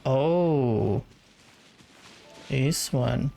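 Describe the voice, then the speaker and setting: A gamer giving casual, low-key commentary in murmured reactions while playing, with game music behind. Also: very slow, creaky, mellow. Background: video game music.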